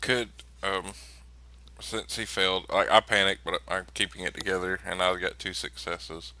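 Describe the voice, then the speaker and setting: A man's voice: deep voice, level tone, moderate pace, sharp tone, no room sound. A man playing a tabletop role-playing game in casual, sweary table talk.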